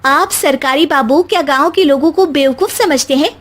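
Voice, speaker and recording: A woman's voice: high voice, moderate pace, voice pushed up, natural voice. A woman voicing a spirited, educated young daughter-in-law from the city, earnest and outspoken, in a story. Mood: indignant.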